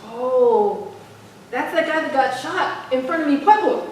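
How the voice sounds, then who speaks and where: A woman's voice: high voice, lively intonation, a little slow, a little mellow. A woman playing a passionate young activist poet in a staged reading, speaking with emphatic rhythm. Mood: feisty, challenging. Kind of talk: spoken-word poetry and staged dialogue. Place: staged reading in an arts center hall. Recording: echoey hall, distant mic, faint noise.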